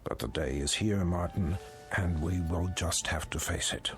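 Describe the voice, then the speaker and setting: Man playing a low-voiced, weary older boss. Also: soft background music, close to an everyday voice. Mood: regretful. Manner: halting, apologetic. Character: older male museum owner, weary, kindly, regretful boss. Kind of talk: audio drama acting.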